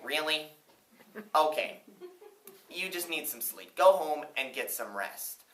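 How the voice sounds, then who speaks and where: Woman playing a male fisherman, dismissive, soothing, low voice, roomy sound. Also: lively intonation, slow, a bit bright, full character voice. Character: skeptical, calm male fisherman. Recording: roomy room, distant mic.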